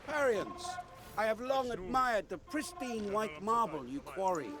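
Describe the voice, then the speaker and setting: Proud persian conqueror in a game, high voice, slow n grandiose, polite threats. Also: male voice, expressive, music in the background. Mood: threatening courtesy. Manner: grandiose, declamatory. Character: proud Persian commander, menacing yet courteous conqueror. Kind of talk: dramatic historical game voice acting.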